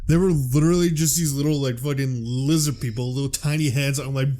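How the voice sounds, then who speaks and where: The guy on a hobby movie podcast you put on late at night, swearing casually as he reads out trivia at an everyday middle pitch. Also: steady tone, fairly quick, dark tone. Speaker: podcast host. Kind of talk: casual chat, reading out film trivia.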